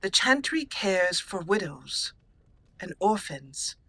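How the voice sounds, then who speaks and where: A woman voicing a proud, dignified exiled noblewoman warrior in a roleplayed video game, speaking formally and deliberately. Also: lowish voice, sing-song, pushed and bright, breathy edge, heavily acted. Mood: grave, earnest.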